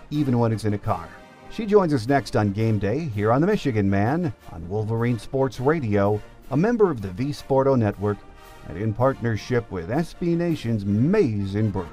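A man's voice: medium pitch, lively intonation, normal speed, mellow. Male sports podcast host over faint music. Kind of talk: scripted sports commentary monologue.

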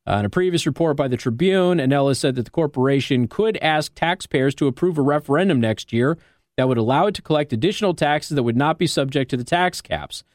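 An American man works as a talk radio host, speaking in an emphatic, conversational monologue. His voice sits slightly high and moves very freely up and down as he makes his points. The sound is dry and close, like a studio broadcast microphone. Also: lively intonation, quick pace, no room sound. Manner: emphatic, argumentative, rhetorical check-ins like "okay?". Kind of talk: opinionated news commentary, conversational monologue.

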